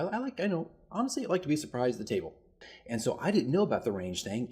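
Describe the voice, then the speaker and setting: A man with a slightly husky voice at a middle pitch tells a story in a casual, rambling way. He sounds like a game master keeping a diary, animated and self-deprecating. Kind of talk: casual solo storytelling recap.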